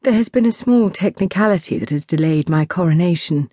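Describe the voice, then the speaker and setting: A woman's voice: fairly low pitch, expressive, fairly quick, mellow, dry recording. Woman voicing a gracious, earnest teenage princess, dry sound. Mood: earnest, explaining. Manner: polite, earnest explaining.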